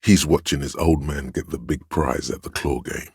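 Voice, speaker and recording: A man voicing a domineering inner voice in a video game, commanding, measured, grave and pompous in delivery. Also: fairly even intonation, a little mellow, slightly rough, no room sound, clean studio sound, full character voice. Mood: grave, narrating. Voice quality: very deep, resonant. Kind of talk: scripted game narration, inner-voice commentary.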